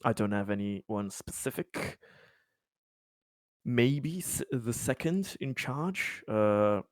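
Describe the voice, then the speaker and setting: A man improvising as a player in a tabletop roleplaying game, halting and hesitant, often stammering over repeated words. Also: medium pitch, lively intonation, no echo, clean studio sound.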